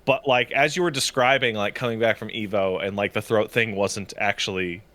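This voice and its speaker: Bright-voiced sniffly man chatting. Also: on the low side, moderate pace. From Canada. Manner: animated, swearing storyteller. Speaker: podcast co-host. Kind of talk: casual chat.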